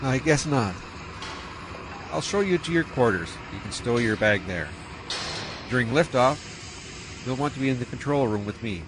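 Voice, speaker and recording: An actor playing a practical, profit-minded spaceship captain, talking in a casual, matter-of-fact way. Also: male voice, mid-range voice, animated, normal speed, pauses often, noisy background, close to an everyday voice. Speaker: spaceship pilot and narrator of his own story. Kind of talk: radio drama acting. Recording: dialogue over music.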